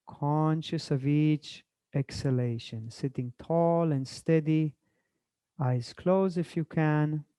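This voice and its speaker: Male meditation guide from Israel: soft mellow tone, slightly high register, courteous, dry close sound. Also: clean tone, no echo. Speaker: swami, guest speaker and meditation guide. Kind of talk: gentle spiritual talk and guided meditation.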